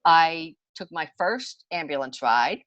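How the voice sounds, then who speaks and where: Older woman with a lowish voice, chatting. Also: expressive, slow pace, fairly bright tone, highs cut off. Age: 60s. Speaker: podcast guest, homeopathy enthusiast. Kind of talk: conversational personal storytelling.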